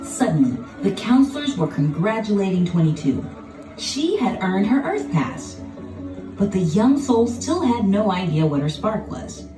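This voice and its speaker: A woman narrating a children's storybook in an expressive, dramatic scripted style. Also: mid-range voice, a little slow. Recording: roomy, distant sound with music behind.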